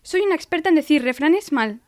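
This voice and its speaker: Giggly young woman, high voice. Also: animated, fairly quick. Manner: giggly, rambling, self-mocking. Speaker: podcast co-host. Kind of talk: casual chat.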